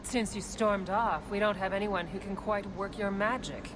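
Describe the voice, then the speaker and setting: An actress playing a shady, businesslike smuggler boss in a video game, with dry sardonic delivery. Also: female voice, mid-range voice, normal speed, background noise, close to an everyday voice. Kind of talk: video game dialogue acting.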